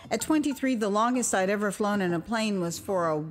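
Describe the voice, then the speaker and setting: An American woman narrating a dramatized memoir as its author, conversational and expressive, with dramatic pauses. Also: medium pitch, faint music behind. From United States (Houston, Texas). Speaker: author and storyteller.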